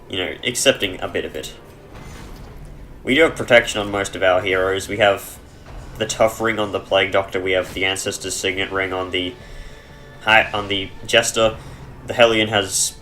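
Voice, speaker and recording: A man giving casual, rambling commentary over a dungeon game on a headset mic, chesty and clearing his throat, with dry humor. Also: deep voice, pushed and bright. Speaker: let's play gamer.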